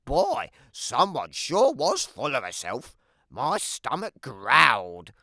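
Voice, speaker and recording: A woman voicing a sly, hungry, wry male wolf who tells his own tale in an animated children's read-aloud, with dramatic emphasis and playful asides. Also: very deep voice, slow, dry recording, clean studio sound, heavily acted.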